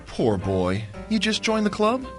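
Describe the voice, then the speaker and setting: A man's voice: high-pitched, huge pitch swings, a little slow, soft background music, full character voice. A man playing a flippant, teasing business partner in a radio drama, speaking in an arch, mocking, flowery manner. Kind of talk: theatrical radio drama acting.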